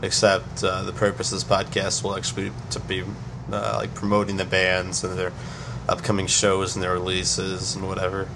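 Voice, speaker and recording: A man's voice: low voice, monotone, normal speed, creaky, noisy background. A young man with a cold and a nasal voice, hosting a podcast in rambling casual chat full of fillers and restarts. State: has a cold. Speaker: podcast host.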